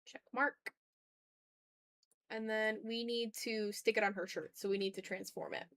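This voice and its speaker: A woman's voice: medium pitch, steady tone, unhurried, a bit bright. A woman livestreaming as she draws, chatting casually with viewers and muttering to herself as she talks through each step. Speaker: art streamer. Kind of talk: casual livestream chat while drawing.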